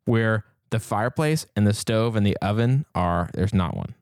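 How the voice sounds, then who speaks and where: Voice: medium pitch, lively intonation, moderate pace, darkish tone, no room sound, clean studio sound. A curious podcast co-host who is not a chemist, chatting casually and telling stories from home life. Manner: curious, storytelling, asks questions.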